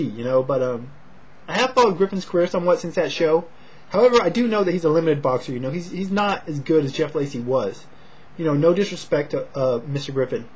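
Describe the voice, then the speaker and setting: American man, higher-pitched husky voice, low-key casual vlog talk, hesitant, slightly short of breath, dull recording. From Sacramento, California, United States. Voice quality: husky, not loud. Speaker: amateur boxing commentator and vlogger. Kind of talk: casual talk to camera, fight preview and prediction.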